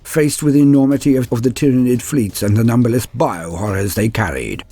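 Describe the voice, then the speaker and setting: A man giving a grave, theatrical reading of scripted war lore as a narrator, in measured phrases. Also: medium pitch, dark tone. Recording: voice over background music. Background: music.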